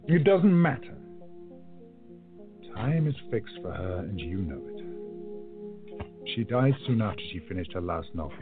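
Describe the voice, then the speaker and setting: A man playing a kindly, melancholy curmudgeon of a time traveler in a radio play, speaking in a halting, wistful way. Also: fairly low pitch, sing-song, loud music behind the voice. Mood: sorrowful, resigned. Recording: narrow tinny phone-like audio.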